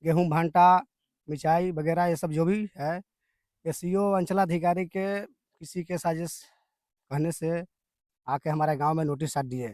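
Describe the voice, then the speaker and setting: A man's voice: fairly high pitch, a little slow, a little mellow, no room sound, studio quality. A man with a Bihari accent giving an earnest account as an interviewed villager, emphatic and repeating his statements. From Bihar, India. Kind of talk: earnest spoken account to a reporter.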